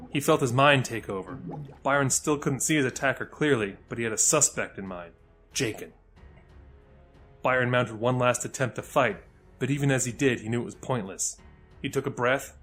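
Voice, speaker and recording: A man reading scripted fantasy narration as a storyteller, with dramatic, deliberate delivery. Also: mid-range voice, a bit bright.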